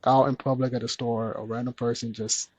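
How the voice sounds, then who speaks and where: A man speaking gently and earnestly as a podcast guest, with a soft, soothing voice. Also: medium pitch, little pitch movement, a little fast, dry recording. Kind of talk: candid conversational interview.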